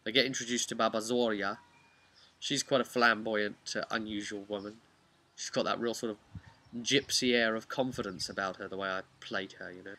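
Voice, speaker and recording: A man speaking as a game master, casually recapping a tabletop role-playing session in a halting, conversational way with emphasis on key words. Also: lowish voice, steady tone, pushed and bright.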